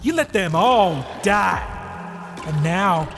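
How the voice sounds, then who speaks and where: A man's voice: high voice, lively intonation, extremely slow, vocal fry, a bit bright, long reverb tail, faint music behind, voice pushed up, full character voice. A man voicing a mocking demonic reflection that speaks back with accusations. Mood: accusing.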